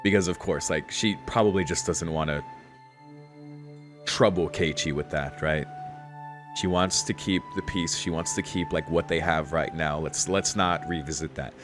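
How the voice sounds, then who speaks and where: A man's voice: extremely low pitch, normal speed, over music. A young man giving casual game commentary as a streamer, musing in a laid-back, slangy way and reading text aloud. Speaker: streamer playing a visual novel.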